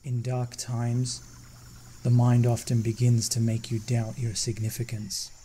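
An actor playing a lonely, brooding plane crash survivor in a somber, introspective film monologue. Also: male voice, mid-range voice, monotone, unhurried, warm tone, slight background noise, close to an everyday voice. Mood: somber, reflective. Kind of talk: scripted film monologue narration.

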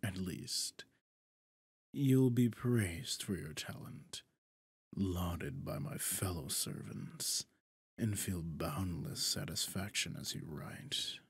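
Smug possessive demon lord, acted by a man, very husky, slow, pompous, breathy, ASMR roleplay. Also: deep voice, no echo, clean studio sound, exaggerated voice. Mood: commanding, condescending. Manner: pompous, slow, deliberate, breathy half-whispers. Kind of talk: scripted ASMR roleplay monologue.

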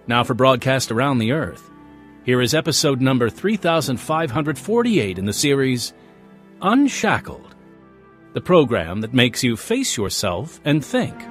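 A man's voice: medium pitch, big pitch swings, unhurried. A man announcing and narrating a radio drama series in a scripted delivery. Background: music behind the voice.

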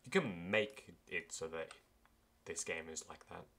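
Deep voiced aussie guy gaming, low-key and mumbly, bit of keyboard clicking. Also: a little slow, vocal fry, bright, forceful tone. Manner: low-key, mutters and swears at the game. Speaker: gamer. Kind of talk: casual gaming chat.

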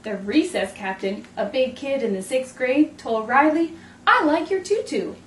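A woman in her thirties reading a picture book aloud to preschoolers with expressive, deliberate delivery. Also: high voice. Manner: expressive, clearly paced delivery for young children. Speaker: children's storytime host. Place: indoors in a room, standing before backdrop. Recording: roomy, distant mic, faint noise.

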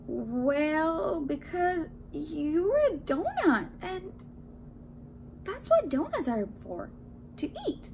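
A woman's voice: sits high, very animated, noisy background, voice pushed up, heavily acted. A woman voicing a sheepish, flustered man in a children's storybook, hesitant and stammering. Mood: stunned, sheepish. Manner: hesitant, stammering, drawn-out words. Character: sheepish, flustered man who eats donuts.

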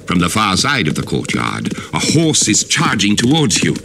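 An actor playing an ominous narrator of a fantasy horror adventure, telling it to the listener in suspenseful radio play style. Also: male voice, fairly low pitch, huge pitch swings, moderate pace, noisy background. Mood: suspenseful, dramatic. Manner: theatrical, suspenseful. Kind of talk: dramatic second-person radio play narration.

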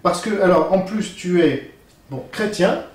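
Male interviewer, thirties, slightly high voice, informal, hesitant phrasing, distant microphone. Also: animated, a little slow. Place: small room at a table, indoors. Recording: echoey room, distant mic, background noise.

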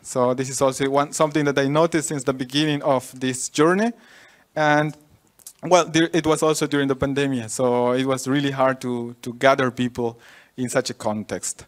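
A man's voice: mid-range voice, fairly even intonation, moderate pace. A young man from Peru, a native Quechua speaker, giving a conference talk with many fillers and restarts. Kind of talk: conference presentation. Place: conference room with audience.

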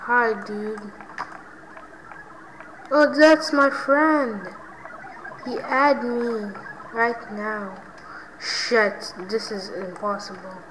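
A woman hosting her own gaming channel, giving let's play commentary and reacting loudly to each win and death. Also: a little high, drawn-out words, pauses often, slight background noise, low-quality recording.

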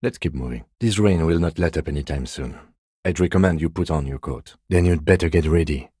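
A restrained, courteous police lieutenant for a video game. His voice is deep, dark and husky, delivered in a measured deadpan with a dry, sardonic edge. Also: no room sound. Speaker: game character, police detective. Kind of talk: video game dialogue voice lines.

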